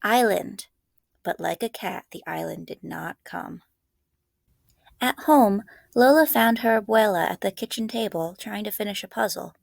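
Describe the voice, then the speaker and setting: Woman, children's read-aloud, expressive narration, noticeable vocal fry, middle pitch, dry close sound. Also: moderate pace, no echo. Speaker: librarian reading aloud.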